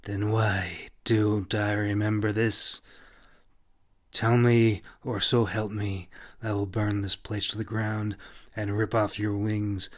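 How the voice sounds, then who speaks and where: A man's voice: fairly low pitch, little pitch movement, slow. A man voicing an angry, threatening male fighter who growls and snarls in a fantasy audiobook. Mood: seething, threatening.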